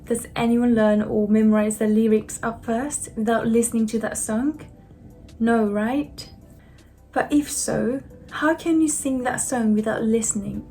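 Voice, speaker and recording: A woman in her twenties from Japan, a native Japanese speaker with a Japanese accent, presenting a scripted explainer as a language vlogger in simple, deliberate, slightly non-native phrasing. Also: on the high side, warm tone. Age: 20s.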